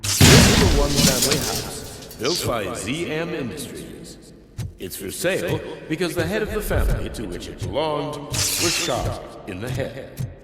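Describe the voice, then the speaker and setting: A man's voice: medium pitch, very animated, measured pace. A man playing a glib, mocking salesman-like guide in a video game, with chirpy sales patter. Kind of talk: video game cutscene acting. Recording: echoing hall, loud music.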